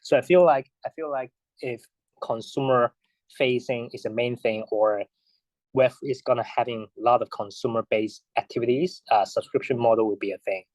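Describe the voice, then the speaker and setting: A man in his thirties from Hong Kong, a guest answering interview questions in a halting way with many restarts and fillers. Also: mid-range voice, a little mellow, dry recording, rough recording. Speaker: guest, investor.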